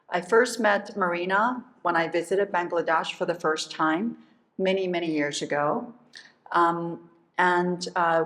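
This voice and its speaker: A woman introduces a guest speaker in a lecture hall, reading formal prepared remarks. When she departs from the script she adds fillers. Her voice sits somewhat low. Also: fairly bright tone. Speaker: faculty host introducing a speaker.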